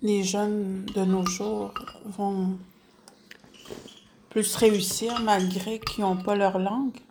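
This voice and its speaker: A woman with a Quebec French accent, interviewing gently with fillers. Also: mid-range voice, level tone, a little hiss or hum. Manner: slow, gentle questioning with fillers. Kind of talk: casual interview.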